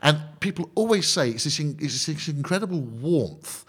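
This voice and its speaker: A man's voice: on the high side, expressive, moderate pace, a bit bright, breathy edge. A man, a band conductor, explaining as a radio guest in an enthusiastic, joking, digressive way.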